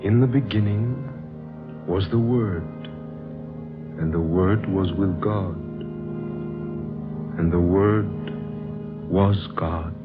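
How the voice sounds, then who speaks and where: Holy messiah figure, 1940s radio drama: deep, measured, solemn and stately, gentle scriptural delivery. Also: male voice. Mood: reverent, stately. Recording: muffled vintage radio recording with music.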